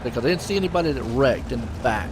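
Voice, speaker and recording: A man's voice: medium pitch, expressive, rapid-fire. A man hosting and commentating a sim race stream, chatty and folksy, joking with his co-host. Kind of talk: casual sim racing commentary. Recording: noisy broadcast mix with engine noise.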